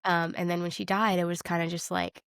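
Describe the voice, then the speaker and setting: A woman's voice: on the low side, little pitch movement, a little fast, gravelly, fairly bright tone, no room sound, clean studio sound. A young woman co-hosting a podcast, talking about films in a casual, candid way with youthful slang. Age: young adult. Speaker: podcast co-host. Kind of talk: casual film discussion chat.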